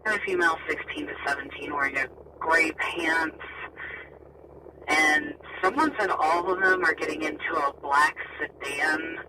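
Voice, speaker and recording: A man's voice: on the high side, measured pace. Noisy, distant male radio dispatcher. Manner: matter-of-fact, repeats addresses digit by digit. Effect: police scanner radio. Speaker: emergency dispatcher. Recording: distant scanner radio, loud noise. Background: loud vehicle and ambient noise.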